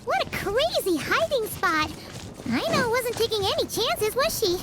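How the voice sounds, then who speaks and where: A woman voicing a tiny, excitable, childlike fairy companion in a video game, squeaky and exclamatory. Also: a little slow, over loud music, heavily acted. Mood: excited. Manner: exclamatory, refers to self in third person. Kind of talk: video game cutscene acting.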